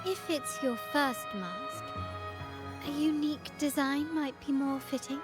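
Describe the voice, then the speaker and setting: High-voiced poised young lady. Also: unhurried, music in the background. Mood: helpful, composed. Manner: polite, direct. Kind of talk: video game voice acting.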